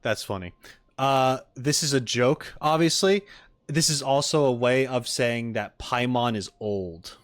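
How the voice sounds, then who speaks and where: A man's voice: mid-range voice, a little slow. A man streaming casual reaction commentary on game voice lines, joking and playfully exasperated. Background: faint music.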